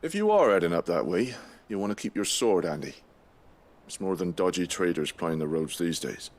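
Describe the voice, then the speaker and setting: An actor playing a gruff, grumbling town guard in a video game, blunt and sardonic. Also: male voice, deep voice, lively intonation, normal speed, darkish tone. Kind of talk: dramatic video game acting. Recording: music under the voice.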